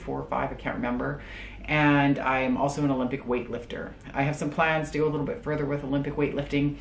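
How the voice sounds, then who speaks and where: Casting: woman, late 30s, chatty and upbeat, deep voice, echoey room with faint hiss. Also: gravelly. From Calgary, Canada. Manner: chatty, upbeat, many fillers. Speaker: travel nurse and vlogger. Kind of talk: casual talk to camera. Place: bedroom, indoors.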